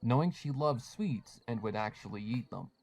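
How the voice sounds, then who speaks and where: A man's voice: mid-range voice, animated, normal speed, a little mellow. A man reading scripted fan fiction as a story narrator.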